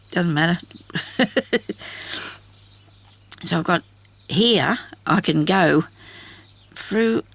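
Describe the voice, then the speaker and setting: Deep raspy woman, gravelly. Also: no echo. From Australia. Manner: chatty, self-deprecating, laughs often. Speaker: craft vlogger. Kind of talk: rambling casual chat while crafting.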